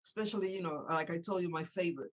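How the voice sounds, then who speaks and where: A woman's voice: fairly low pitch, fast talker. A middle-aged woman whose first language is Spanish, speaking English with a Spanish accent as an interview host in a chatty, affirming way. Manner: chatty, warm-hearted affirmations, frequent laughter. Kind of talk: casual interview chat.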